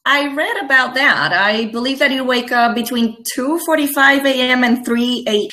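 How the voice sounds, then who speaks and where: A woman's voice: sits high, moderate pace, sharp tone. A woman from Rico, co-hosting an interview in a polite, slightly hesitant way. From Puerto Rico. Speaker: co-host. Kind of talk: casual interview chat.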